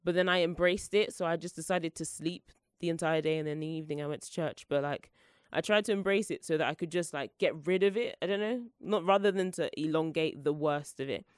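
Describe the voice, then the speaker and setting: A woman's voice: low-pitched, a little fast, clean tone, no echo, studio quality. A young woman hosting her own solo podcast, chatting in a rambling, playful and self-mocking way, her voice congested from the flu. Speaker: podcast host.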